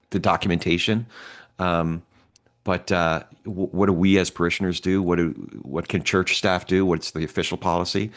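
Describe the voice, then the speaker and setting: A male podcast host leads a casual roundtable. His voice is very deep. He talks in a relaxed, halting way, often pausing with fillers and restarting his sentences.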